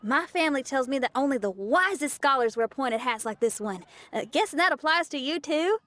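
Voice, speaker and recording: Upbeat young anime rock musician: high, animated, earnest, down-to-earth. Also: female voice, brisk. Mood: cheerful. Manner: casual, earnest. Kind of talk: anime game cutscene acting.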